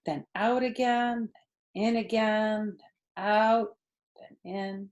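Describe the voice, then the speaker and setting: Woman, medium pitch, storyteller, expressive children's book narration. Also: slow, highs cut off.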